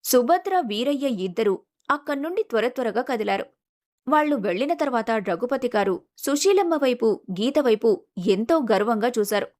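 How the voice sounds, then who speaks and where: A woman's voice: on the high side, animated, moderate pace, darkish tone. A woman reading a scripted family story as a dramatic narrator.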